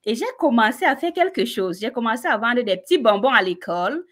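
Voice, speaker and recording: A woman with a Cameroonian French accent telling her personal story on a live stream, animated and talking straight to her listeners. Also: fairly high pitch, fairly quick.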